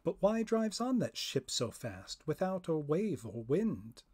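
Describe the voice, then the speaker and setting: A man's voice: a little high, very animated, slow, a little mellow, breathy edge, pitched above the performer's own voice, heavily acted. A man voicing an urgent, questioning spirit of the air in a dramatic poetry reading, insistent and archaic in phrasing.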